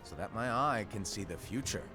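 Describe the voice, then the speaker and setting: A man's voice: on the low side, animated, moderate pace, natural voice. A man voicing a teasing hooded keyblade master. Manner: teasing, breezy, secretive. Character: mysterious playful hooded male keyblade master. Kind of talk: game cutscene voice acting. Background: game soundtrack music.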